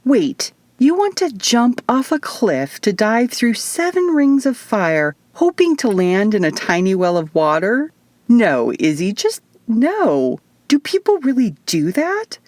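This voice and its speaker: Wry young adventure heroine read by a woman. Sceptical, expressive, teasing; audiobook fantasy, dry studio-style sound. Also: on the high side, unhurried, dry recording, pitched above the performer's own voice. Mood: incredulous.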